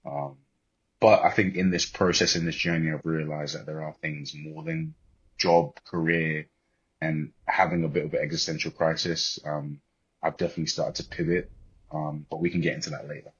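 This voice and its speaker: Muffled recording, British male guest, twenties, extremely low pitch, husky, measured, reflective. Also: flat delivery. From born in Liberia, grew up in the UK. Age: 20s. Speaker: podcast guest, corporate banker. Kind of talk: reflective interview talk.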